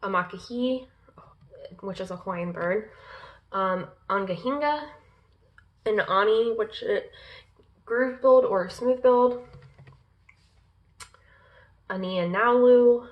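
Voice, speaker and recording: A woman vlogger reading a long list aloud, pausing often with ums and uhs and stumbling over unfamiliar names. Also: medium pitch, highs cut off. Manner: slow, careful reading pace. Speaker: pagan lifestyle vlogger. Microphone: laptop in front of her.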